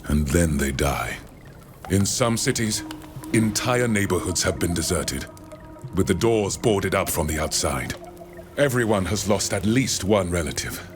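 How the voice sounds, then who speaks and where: A low male voice plays an older, learned naturalist in a fantasy game. He is measured and courteous, reflective in temper. Music plays clearly underneath. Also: lively intonation. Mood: grave. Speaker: scholar from the continent. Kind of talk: scripted video game dialogue. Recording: music behind the voice.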